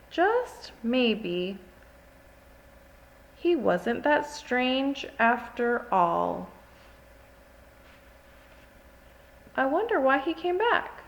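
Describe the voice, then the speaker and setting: An earnest, dramatic little girl, acted by a woman, tells a picture-book story. The voice is fairly high and very lively, with childlike expression and unhurried phrasing. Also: heavily acted, rough recording. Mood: wistful, hopeful.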